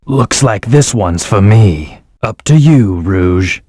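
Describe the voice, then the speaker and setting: An actor voicing an aloof male hedgehog hero in a video game, giving calm, terse commands and hints. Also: lowish voice, takes it slowly, husky, exaggerated voice. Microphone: studio microphone.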